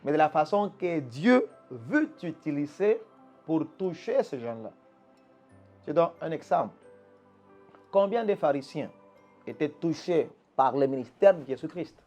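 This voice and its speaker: Pastor with a higher voice, preaching earnestly. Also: male voice, sing-song, a little slow, long pauses, darkish tone, soft background music. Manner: restarts and repeats words, emphatic teaching tone. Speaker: pastor, interview guest. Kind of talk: earnest preaching-style answers. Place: Quebec.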